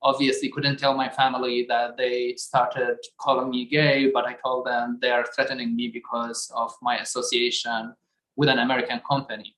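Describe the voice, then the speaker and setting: Flat-voiced man, roomy sound. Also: medium pitch. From Iraq. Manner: earnest, measured recounting. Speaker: storyteller. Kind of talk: personal testimony. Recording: roomy echo.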